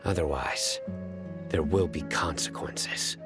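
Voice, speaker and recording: An actor playing a stern, unyielding enforcer in a video game, speaking formally and deliberately with an air of threat. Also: male voice, a bass voice, exaggerated voice. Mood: grave warning. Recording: game music behind the voice.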